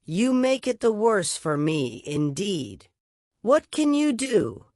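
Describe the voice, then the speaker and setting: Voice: medium pitch, very animated, slow pace, darkish tone, no echo, studio quality, voice pushed up. A narrator voicing a brutish, self-pitying male carter in a storybook, grumbling and exclaiming. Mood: scornful grumbling. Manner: blustering, wailing exclamations.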